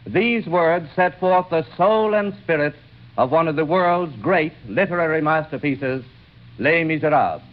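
A man's voice: on the high side, expressive, a little slow. A man announcing a programme formally, as a 1930s radio announcer. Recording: tinny, band-limited 1930s radio recording.

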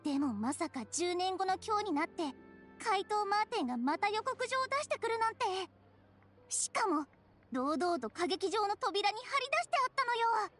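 Animated high-voiced young reporter. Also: female voice, normal speed, soft background music, full character voice. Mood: earnest. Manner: animated, theatrical, inquisitive. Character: eager, dramatic young female news reporter. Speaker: character voice actor. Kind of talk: anime game voice acting.

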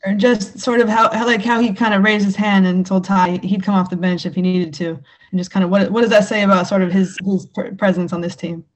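A woman's voice: mid-range voice, level tone, fairly quick, rough recording. A woman reporter asking a rambling, hesitant question over a video call. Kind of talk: press conference question. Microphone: remote video call.

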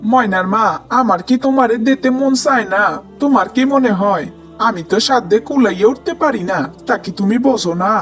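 High-voiced rural Bangladeshi fisherman played by a man. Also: brisk, soft background music. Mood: pleading, placating. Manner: plaintive, placating, colloquial. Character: poor, hard-working, put-upon village fisherman husband. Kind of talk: cartoon voice acting.

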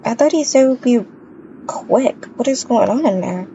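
A woman's voice: fairly high pitch, lively intonation, moderate pace, mellow. A woman playing an impatient young mother in a casual improvised game roleplay, with a slangy, grumbling way of talking. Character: impatient young mother, complaining to her partner. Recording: dull lo-fi game capture, faint noise.

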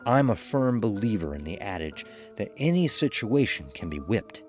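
A man narrating a horror story in the first person with deliberate, dramatic delivery. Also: fairly low pitch, big pitch swings, faint music behind.

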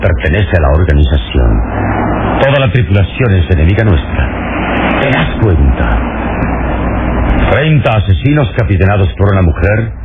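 Radio serial hero: deep, swooping delivery, grave, wise and watchful, on a tinny, noisy old recording. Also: male voice, sing-song, moderate pace, pauses often. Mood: grave, wary. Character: calm, wise, watchful male adventure hero.

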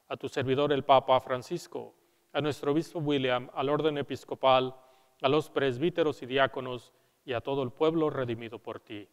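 A man, a priest, solemnly reciting liturgical prayers at Mass in a measured way, in a large church. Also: mid-range voice. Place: large church interior, nearly empty. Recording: reverberant church hall.

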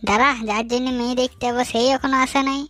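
A man's voice: very high-pitched, steady tone, very fast, sharp tone, soft background music. A man voicing a bossy, teasing young village man in a comic cartoon, with a rural West Bengal accent. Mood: impatient.

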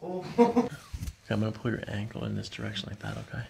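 A soft-spoken man working as a chiropractor, calmly coaching someone step by step in a hushed ASMR style. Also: on the low side, quick pace, creaky, very noisy. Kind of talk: hushed ASMR treatment instructions. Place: small carpeted treatment office, indoors. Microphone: boom-mounted microphone close to the treatment table.

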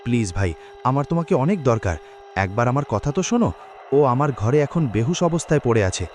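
A man's voice: mid-range voice, sing-song, fast talker, slight background noise, natural voice. A man playing a bewildered, pleading young man in a dramatic cartoon story. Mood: anxious pleading. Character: bewildered, anxious young man haunted by lost love.

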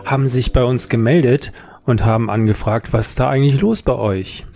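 A man's voice: medium pitch, fairly quick, gravelly, darkish tone. A man presenting a podcast as its host in a scripted style.

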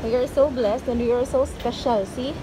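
A woman's voice: on the high side, fairly even intonation, normal speed. A woman with a Filipino accent chatting casually as a vlogger, switching between languages as she goes. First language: Filipino (Tagalog or Visayan). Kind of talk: casual walking vlog chat, code-switching. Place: outdoors on city streets and in a busy mall food court. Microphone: handheld phone. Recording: noisy outdoor street and mall ambience.

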